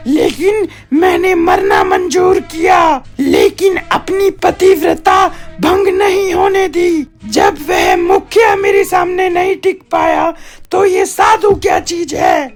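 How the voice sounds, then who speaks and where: A woman's voice: very high-pitched, wildly swooping pitch, voice pushed up, exaggerated voice. A woman voicing a vengeful, proud ghost witch in a cartoon horror story, with commanding, threatening delivery. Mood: furious, defiant. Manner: commanding, threatening shouts, drawn-out words. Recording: background music under the voice.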